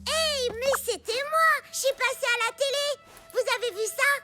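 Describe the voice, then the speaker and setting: A woman's voice: very high voice, moderate pace, over loud music. A woman voicing a tiny, naive and stubborn cartoon bird in a French dub, childlike and eager. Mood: proud, eager.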